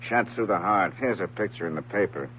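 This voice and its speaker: A male actor as an unflappable police sergeant from a radio crime play. His fairly low voice is deadpan and mock-polite, in short clipped phrases. The old recording is muffled, with a slight hum. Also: a little fast, natural voice. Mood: dry, official. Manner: deadpan, clipped, drily formal. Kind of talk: old-time radio drama acting.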